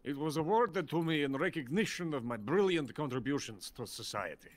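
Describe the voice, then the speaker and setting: An actor playing a drunken, pompous, bitter older Soviet weapons scientist in a video game. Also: male voice, a little high, expressive, fairly quick, close to an everyday voice. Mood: boastful, proud. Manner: pompous, boastful. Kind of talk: theatrical video game voice acting.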